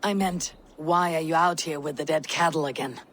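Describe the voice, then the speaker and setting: A woman's voice: low-pitched, moderate pace, a little hiss or hum, close to an everyday voice. A woman playing a stern, stoic female Viking warrior in a video game. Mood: stern, probing. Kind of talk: dramatic video game acting.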